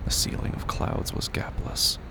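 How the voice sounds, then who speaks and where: A man with a gravelly, breathy voice narrating a horror audio drama in an intense, dramatic, deliberate way. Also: noisy background. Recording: loud ambient sound effects under voice.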